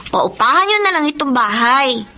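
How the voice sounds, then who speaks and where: Young Filipina stepdaughter, high voice, very animated, earnest and affectionate, drama serial, faint music behind. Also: female voice, normal speed, exaggerated voice. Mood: earnest, grateful. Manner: earnest, respectful, expressive. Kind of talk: Filipino drama acting with first-person narration.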